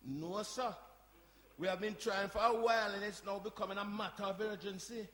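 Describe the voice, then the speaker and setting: A man's voice: high voice, fairly even intonation, moderate pace, crowd noise, lightly acted. A man playing a flustered, deferential community centre worker, anxious and placating, in a live radio play. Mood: anxious pleading. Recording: echoey live room with faint noise.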